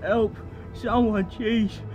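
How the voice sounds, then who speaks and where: A man's voice: sits high, steady tone, very slow, darkish tone, highs cut off, soft background music. A man playing a blokey working-class gardener in an audio drama. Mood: alarmed, defiant. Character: chatty, startled working-class English gardener.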